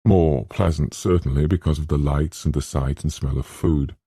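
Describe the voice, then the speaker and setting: A man's voice: extremely low pitch, steady tone, normal speed, warm tone, slightly rough, no room sound, studio quality. A man reading literary narration from a script as an audiobook narrator.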